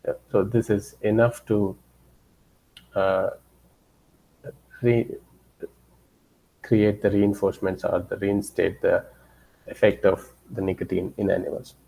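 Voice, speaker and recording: A man presenting scientific results as a lecturer, haltingly, often repeating and rephrasing his phrases. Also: low-pitched, level tone, gravelly, dark tone, husky, poor audio. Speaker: researcher giving a guest lecture.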